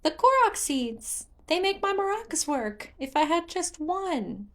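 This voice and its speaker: A woman voicing an excitable, bubbly, childlike tree spirit who exclaims in a sing-song way in a fantasy story reading. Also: very high voice, a little slow, voice pushed up, exaggerated voice. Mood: dismayed.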